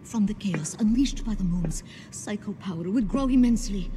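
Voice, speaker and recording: An actress playing a poised, calculating secret organiser in a video game, speaking in a composed, formal and persuasive way. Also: female voice, mid-range voice, lively intonation, normal speed, mellow, lightly acted. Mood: grave, persuasive. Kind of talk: video game cutscene voice acting. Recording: reverberant hall, faint background noise.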